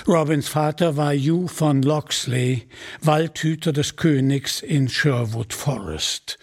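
Male narrator, husky, slow deliberate storytelling, scripted radio drama. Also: on the high side.

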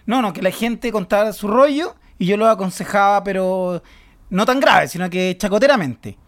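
High-voiced Chilean man ranting. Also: lively intonation, normal speed, fairly bright tone. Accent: Chilean Spanish. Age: 30s. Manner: ranting, mock-indignant. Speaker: comedy podcast co-host. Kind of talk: comedic banter.

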